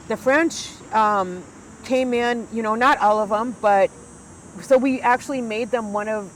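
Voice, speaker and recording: A woman's voice: fairly high pitch, slight background noise, poor audio. A woman in her sixties, an elder talking earnestly and from the heart, with many fillers and restarts. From United States. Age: 60s. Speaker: Ojibwe elder and guest. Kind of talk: conversational storytelling.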